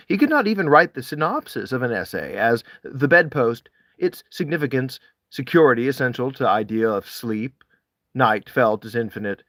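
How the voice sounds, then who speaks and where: A man narrates literary prose in a medium-pitched voice. His intonation swings widely, with deliberate, dramatic phrasing. The sound is close and dry, as in an audiobook-style reading. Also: fairly bright tone, no echo. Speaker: audiobook-style reader of classic essays. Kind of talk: literary read-aloud, expressive scripted narration.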